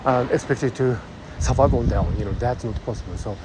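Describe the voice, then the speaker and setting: A man with a Japanese accent, thinking aloud in a halting way, full of fillers, while walking along a busy city street. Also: mid-range voice. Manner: thoughtful, halting, many fillers. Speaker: vlogger. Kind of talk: musing monologue while walking. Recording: loud street traffic behind.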